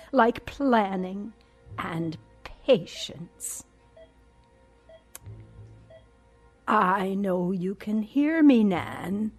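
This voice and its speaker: An elderly schemer in an audio drama, gloating with great patience. She speaks extremely slowly and deliberately, sometimes hushed to a whisper. Soft music sits behind her. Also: medium pitch, husky, exaggerated voice. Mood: smug, patient. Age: older. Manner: slow, deliberate, dropping into whispers. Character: vengeful, patient elderly woman quietly gloating over her victim.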